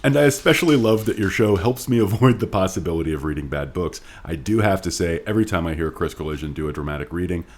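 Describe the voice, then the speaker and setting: Deep-voiced, animated male podcast co-host. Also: quick pace, hardly any pauses. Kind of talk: casual book podcast banter, reading listener mail.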